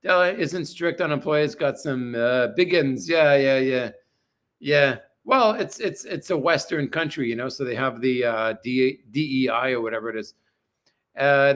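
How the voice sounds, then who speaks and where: A man's voice: mid-range voice, a bit bright. A man in his forties hosting a livestream from his apartment, answering viewer comments in a crude, profane, blunt way. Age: 40s. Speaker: livestream host. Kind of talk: casual livestream chat reading viewer comments.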